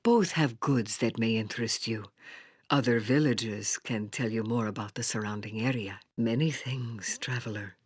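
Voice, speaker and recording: An actress playing a grave, wise old village seer in a fantasy video game, with a husky voice and formal, solemn speech. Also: female voice, very deep voice, moderate pace, no room sound, clean studio sound. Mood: calm, solemn.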